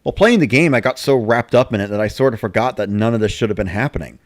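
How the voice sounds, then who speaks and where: Low-voiced man narrating with lively pitch. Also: quick pace, no room sound, clean studio sound. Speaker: narrator of a dramatic reading. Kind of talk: scripted first-person story narration, video game diary.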